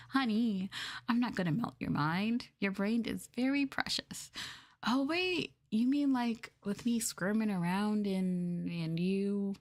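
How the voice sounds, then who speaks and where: An affectionate slime girl, played by a woman, speaks intimately to a partner in an ASMR roleplay. The delivery is coy and doting, with playful curiosity and gentle teasing. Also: mid-range voice, slow pace, close to an everyday voice. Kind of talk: ASMR roleplay script reading.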